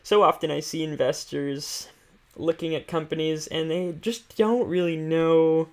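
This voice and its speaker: A man with a somewhat high voice and a clearly creaky, fry-laden edge explains things off the cuff. He speaks informally, like a stream host walking viewers through material, hesitating as he thinks aloud. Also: fairly even intonation, a little slow, a little mellow. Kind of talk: casual unscripted explanation of company finances.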